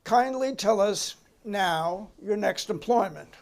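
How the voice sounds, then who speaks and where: A man's voice: high voice, animated, takes it slowly, vocal fry, full character voice. A man playing a methodical committee counsel in a dramatic hearing reading, putting formal, clipped questions to a witness. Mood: businesslike. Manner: clipped, official, persistent questioning.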